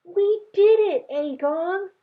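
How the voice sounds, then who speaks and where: A woman voicing excited, triumphant young twins in a children's storybook, with an eager, exuberant delivery. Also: very high voice, slow pace, pitched above the performer's own voice. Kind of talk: children's storybook read-aloud. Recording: tinny, phone-like narrow sound.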